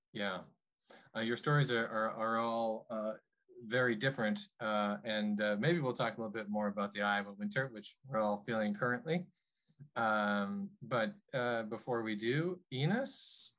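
Man, panel moderator, friendly, halting, filler-heavy, video call audio. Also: mid-range voice, a bit bright. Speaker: host and moderator.

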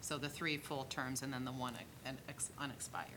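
A woman city staff member answering a council question in a quiet, explanatory way. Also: rumbling low, steady tone, a little fast, background noise.

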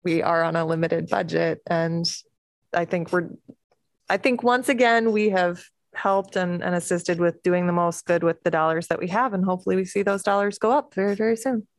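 Female commissioner, lower voice, dry sound, thoughtful. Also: normal speed, dry recording. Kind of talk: meeting discussion.

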